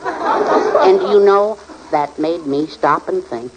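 An actor playing a timid, henpecked middle-aged husband, hesitant and deadpan in old radio comedy style. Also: male voice, high-pitched, slow, dull audio, some chatter behind, exaggerated voice. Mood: timidly pleased. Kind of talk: 1940s-50s radio comedy acting. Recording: noisy old radio recording.

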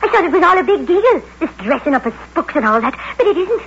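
A woman's voice: extremely high pitch, very animated, fast talker, a bit bright, exaggerated voice. An actress in an old radio drama playing a frightened, gossipy young woman, urgent and colloquial as she confides. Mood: alarmed, confiding. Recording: dull, noisy old radio recording.

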